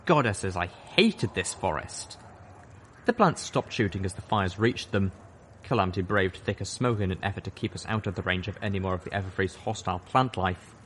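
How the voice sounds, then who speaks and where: A man reading a fan-fiction audiobook aloud, narrating in the first person in a steady storytelling manner. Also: low-pitched, moderate pace, slight background noise. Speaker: audiobook reader.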